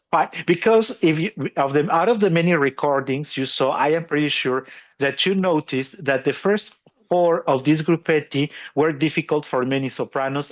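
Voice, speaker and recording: A man's voice: on the high side, highs cut off. A man hosting a podcast, explaining opera singing technique, chuckling often and restarting his phrases. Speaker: podcast host.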